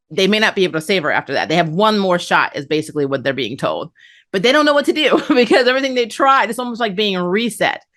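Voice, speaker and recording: A woman with a slightly low-pitched voice talks through an episode recap as a review host. Her delivery is casual and chatty, like conversational commentary shared with viewers. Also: fairly bright tone. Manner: chatty, conversational, acts out characters' lines. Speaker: anime review channel host.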